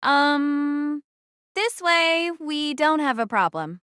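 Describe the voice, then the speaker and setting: High-voiced earnest girl captain. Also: expressive, slow pace, a bit bright, no echo, clean studio sound, heavily acted. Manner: businesslike, earnest. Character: earnest, determined young female team captain. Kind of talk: anime-style audio drama acting.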